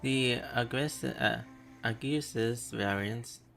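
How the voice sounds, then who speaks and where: A woman streaming a game in a very low voice. She reacts casually and hesitantly, slowly musing to herself, with faint music behind. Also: vocal fry, fairly bright tone. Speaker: live streamer playing a video game. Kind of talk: casual gameplay commentary, murmured reactions.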